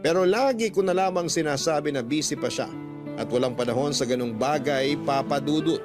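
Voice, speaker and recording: A man's voice: on the high side, brisk, over music. A man narrating a sentimental first-person story as a radio-style storyteller, reading out a letter.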